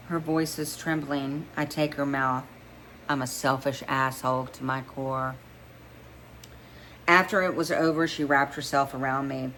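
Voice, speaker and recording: A woman's voice: sits low, a bit bright, a little hiss or hum, poor audio. A woman narrating a first-person story as an amateur reader, stumbling now and then and rereading phrases.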